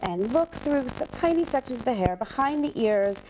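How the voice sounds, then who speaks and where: A woman's voice: a little high, lively intonation, normal speed, noisy background. A middle-aged woman giving casual, joking explanations as a guest expert on a TV interview, wearing a lapel mic. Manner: joking, chatty. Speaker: guest expert on hair care. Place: TV studio.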